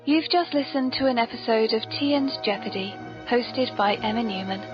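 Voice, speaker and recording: A woman's voice: normal speed, over music. A British woman hosting a whimsical scripted comedy podcast in a genteel, very polite, playful manner. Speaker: podcast host. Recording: muffled, noisy low-quality podcast audio.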